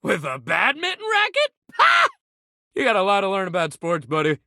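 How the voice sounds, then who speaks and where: Casting: laid-back young jock coach, a living shuttlecock in a video game. Very lively, swooping intonation, slangy and encouraging, dry close recording. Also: male voice, sits high, moderate pace, dry recording, heavily acted. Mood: playful, hyped. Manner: slangy, bro-ish, encouraging, swearing casually. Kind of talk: video game character voice acting.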